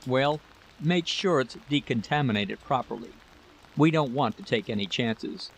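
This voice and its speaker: A man's voice: mid-range voice, animated, a little slow, vocal fry, rough recording. A man voicing a proud, irritable husband in a story, complacent and brushing off worries. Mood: guarded. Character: dismissive war-technician husband, proud and irritable.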